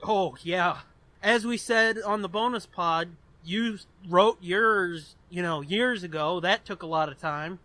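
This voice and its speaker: A man co-hosting a casual podcast, joking crudely and laughing loudly between lines. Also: sits high, slow, dry recording. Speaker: podcast co-host. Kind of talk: casual comedic podcast banter.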